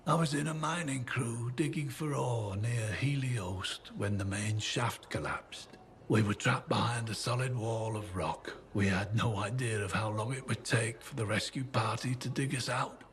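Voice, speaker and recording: An actor playing a weathered, boastful yet haunted old survivor in a video game, with a folksy, plain-spoken manner. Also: male voice, mid-range voice, normal speed, natural voice. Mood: haunted, regretful. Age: older. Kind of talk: video game dialogue acting. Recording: clear ambient noise behind the voice.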